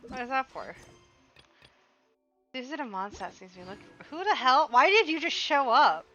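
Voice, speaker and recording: Bright, high-voiced young woman streaming. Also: moderate pace, dull audio, faint music behind. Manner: expressive, self-talking, playful. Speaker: game streamer. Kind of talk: casual live gameplay commentary.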